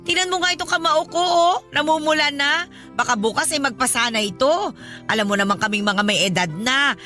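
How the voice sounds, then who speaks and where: A woman's voice: sits high, fairly quick, sharp tone. A woman playing a demanding, wheedling older godmother with a Filipino accent, chatty and nagging in a radio drama. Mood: aggrieved, guilt-tripping. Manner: chatty, nagging, theatrically pleading. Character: demanding, wheedling older godmother guilt-tripping her goddaughter. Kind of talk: Tagalog radio drama acting. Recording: music behind the voice.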